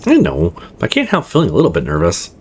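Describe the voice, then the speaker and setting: A man's voice: sits low, huge pitch swings, fast, husky, pitched below the performer's own voice, full character voice. A man voicing a polite young woman, earnest and a little fussy, in a visual novel. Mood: nervous. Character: young female friend, polite, gently nervous and teasing.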